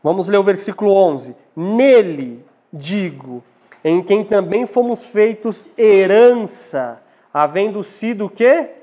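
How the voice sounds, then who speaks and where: A young Brazilian man teaching from the Bible in a didactic way, pausing to put questions to his listeners. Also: sits high, expressive, dark tone. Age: young adult. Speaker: preacher giving a lecture at a church congress. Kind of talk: Bible teaching sermon with scripture reading. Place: small indoor church hall at a lectern. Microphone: lapel.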